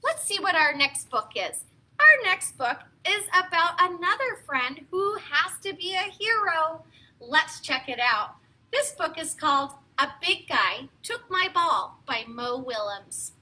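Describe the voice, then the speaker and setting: A woman with a high, very bright voice speaks to small children as a story time host. She is expressive and encouraging, keeping her phrases simple as she reads and chats. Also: unhurried. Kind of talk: read-aloud and talk to young children.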